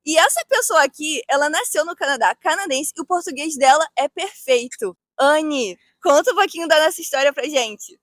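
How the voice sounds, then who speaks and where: Upbeat woman asking questions, really high voice, dry close sound. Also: animated, moderate pace, hardly any pauses, a bit bright, dry recording. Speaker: interviewer, channel host. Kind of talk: casual interview.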